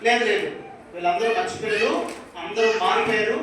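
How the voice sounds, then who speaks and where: A man's voice: high voice, fairly quick. Male ventriloquist host for children, reassuring straight man asking questions, heard from a distance in a very reverberant hall. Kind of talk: live children's puppet show dialogue. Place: large church hall with children's audience. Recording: echoey hall, distant mic, noisy crowd.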